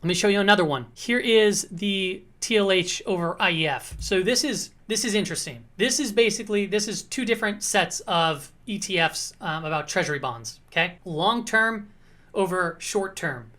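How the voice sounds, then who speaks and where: A woman hosting a casual market commentary, slightly hoarse, talking through charts in a conversational way with frequent check-ins to the viewer. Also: mid-range voice, animated, normal speed, fairly bright tone.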